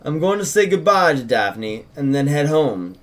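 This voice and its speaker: A man's voice: a little high, sing-song, moderate pace. A man voicing a dutiful, easygoing small-town deputy with a Southern American accent and a folksy, responsible manner.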